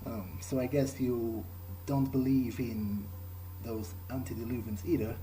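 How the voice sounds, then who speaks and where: A man improvising in character as he plays a game, speaking hesitantly and in a measured way with pauses. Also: medium pitch, fairly even intonation, warm tone, slight background noise, poor audio. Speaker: gamer playing in character. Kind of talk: improvised in-character role-play over a game.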